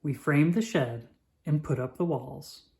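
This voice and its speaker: A narrator reading a children's picture book aloud in an expressive, measured storytelling manner. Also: medium pitch.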